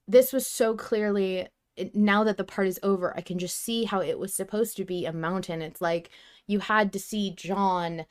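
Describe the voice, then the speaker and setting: A woman's voice: medium pitch, a little mellow. Woman cohosting a fan podcast, chatty n earnest, really leans on her points, talks in bursts. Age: 30s. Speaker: podcast co-host. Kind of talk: casual fan discussion podcast.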